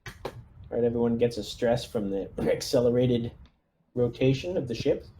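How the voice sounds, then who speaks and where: A man's voice: medium pitch, normal speed, dark tone, a little hiss or hum. A man playing a tabletop game, chatting casually with the group.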